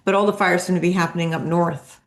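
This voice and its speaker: A woman co-hosting a podcast in casual chat, laughing easily and telling quick stories. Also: fairly low pitch, fairly even intonation. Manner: laughing, storytelling, quick asides. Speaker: podcast co-host, accountant.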